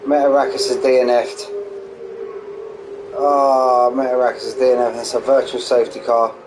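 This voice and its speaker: Hoarse man calling a sim race. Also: mid-range voice. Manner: quick excitable play-by-play, chatty asides to viewers. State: losing his voice, hoarse. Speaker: sim racing league commentator. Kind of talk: live race commentary streamed. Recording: noisy streamed audio.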